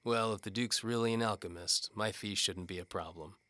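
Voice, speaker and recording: A man playing a cynical, world-weary knight-for-hire detective, narrating a hardboiled noir audio drama in a deadpan, wisecracking way. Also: fairly low pitch, moderate pace, dry recording. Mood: businesslike, sardonic.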